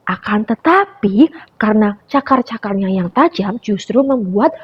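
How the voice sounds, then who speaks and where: A young woman telling a story to preschool children in an animated way, often turning to her listeners. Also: medium pitch, a little slow, slightly rough. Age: young adult. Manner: animated, calls listeners "friends". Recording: dull lo-fi recording.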